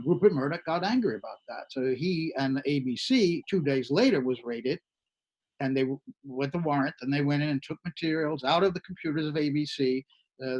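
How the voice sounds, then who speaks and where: A man's voice: a little high, a little mellow, muffled recording. A man hosting a news discussion, giving political commentary in a conversational way. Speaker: panel host of an online news show.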